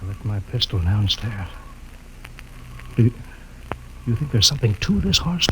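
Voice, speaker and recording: Rocket captain, clipped and stammery, hissy old radio transcription. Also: male voice, lowish voice, very animated, normal speed. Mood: doubtful. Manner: clipped, stammering when scared. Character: male rocket captain, steady then desperate. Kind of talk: 1950s radio drama acting.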